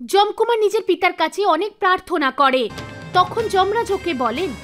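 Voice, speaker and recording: A woman narrating an animated folk tale in a scripted storytelling style. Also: very high-pitched, normal speed. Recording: music under the voice. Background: background music.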